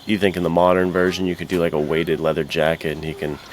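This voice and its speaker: Male co-host, deep voice, slangy casual talk, noticeable noise behind him. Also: completely flat delivery, fast talker. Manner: slangy, laid-back phrasing with "dude" and "like". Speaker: podcast co-host. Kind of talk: casual chat. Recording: clear background noise behind the voice.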